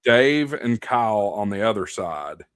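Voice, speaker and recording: A man's voice: on the low side, expressive, unhurried, a bit bright, smooth, no echo, clean studio sound. A man from Tennessee chatting casually, with folksy, joking banter.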